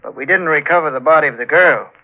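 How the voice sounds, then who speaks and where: Actor as a folksy trooper on tinny old radio. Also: male voice, on the high side, lightly acted. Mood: folksy, reassuring. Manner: folksy, drawn-out questioning. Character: folksy, unhurried state trooper. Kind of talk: 1940s radio acting. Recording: tinny, narrow-band old radio broadcast.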